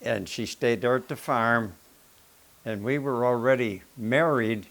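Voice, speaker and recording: A man's voice: medium pitch, a little slow, fairly bright tone. An older man from the rural American Midwest, telling plain-spoken, rambling stories about his family and farm life. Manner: plain-spoken, folksy, rambling with self-corrections. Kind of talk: casual family storytelling.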